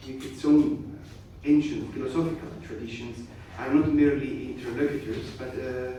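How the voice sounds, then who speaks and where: Man, halting formal speech, echoey hall. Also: mid-range voice, fairly even intonation, dark tone. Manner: halting, many hesitations and restarts. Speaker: guest speaker. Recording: echoey hall, distant mic, faint noise.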